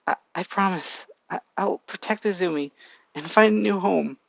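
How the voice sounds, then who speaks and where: A frightened, tearful young boy, as a man voices him in a storybook reading. He stammers in a high, raised, urgent voice. The recording is dull and dry. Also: normal speed, gravelly, a bit bright, dry recording, full character voice. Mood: desperate.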